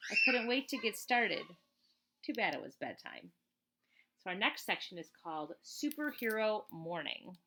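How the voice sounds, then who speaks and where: A woman reading a children's book aloud as a teacher, in an expressive, chatty way with casual asides. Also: medium pitch, normal speed. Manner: expressive, chatty, emphatic on list items.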